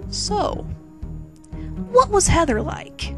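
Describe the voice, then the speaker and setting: Casting: woman playing irritated heroine faking interest, high voice, very animated, parody dramatic reading. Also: drawn-out words, full character voice. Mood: faux curious. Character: reluctant, irritated young heroine feigning polite curiosity. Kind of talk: comedic dramatic reading of fan fiction. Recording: quirky music behind.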